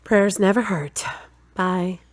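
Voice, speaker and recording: A woman playing a frank, slightly bossy and stubborn girlfriend in an audio drama, brisk and matter-of-fact. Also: mid-range voice, steady tone, natural voice. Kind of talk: acted audio drama dialogue.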